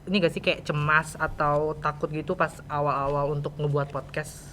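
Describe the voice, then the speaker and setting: Teen boy hosting a podcast, jakarta accent, kinda high bright voice, chatty slangy questions, noisy background. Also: little pitch movement, normal speed. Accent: Jakarta Indonesian. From Indonesia. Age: teenager. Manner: chatty, colloquial, frequent interjections. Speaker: student podcast host. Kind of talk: casual interviewing.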